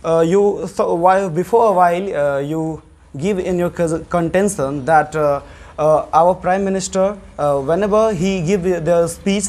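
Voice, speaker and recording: A man's voice: high-pitched, a little mellow. A young man with an Indian English accent giving a classroom debate speech, hesitant and full of fillers. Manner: hesitant, many fillers and restarts. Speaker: student debater.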